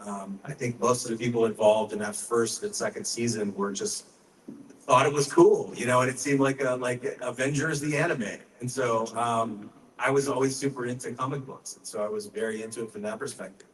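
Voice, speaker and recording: A man's voice: mid-range voice, brisk, slight background noise. Hesitant man in an echoey panel room. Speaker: panelist voice actor. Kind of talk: casual panel talk. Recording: echoey room mic from far off.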